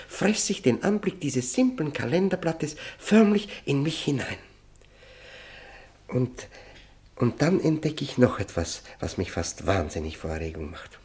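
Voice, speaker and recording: An actor playing a desperate, obsessive male prisoner who tells of solitary confinement in a German radio play, intense and halting, often repeating words. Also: medium pitch, darkish tone. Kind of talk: German radio play, dramatic first-person narration.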